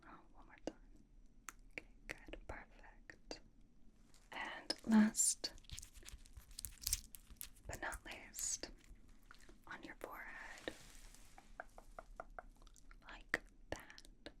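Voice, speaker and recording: A woman playing a bossy, playful young female pop idol in a whispered ASMR roleplay, giving slow, mock-stern instructions in a breathy whisper. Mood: gentle, attentive.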